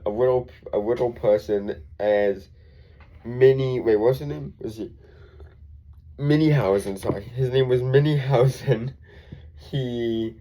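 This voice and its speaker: A man's voice: medium pitch, warm tone, poor audio. A young man with a congested voice, rambling through a casual recap full of ums and self-corrections. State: congested from a cold. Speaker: wrestling show reviewer.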